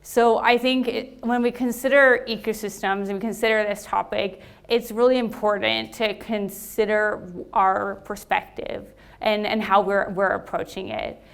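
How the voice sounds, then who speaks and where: A woman's voice: mid-range voice, fairly even intonation, measured pace, vocal fry, hardly any pauses, clear, resonant tone. A woman from Los Angeles with an American accent, speaking to a seated meetup audience, with frequent ums and restarts. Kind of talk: live talk to an audience.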